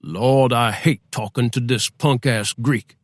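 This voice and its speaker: A man's voice: medium pitch, fairly even intonation, slow, no room sound. A man doing a sly drawling old boss. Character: shrewd older male boss, sly, feigning deference. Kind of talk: audiobook character voice.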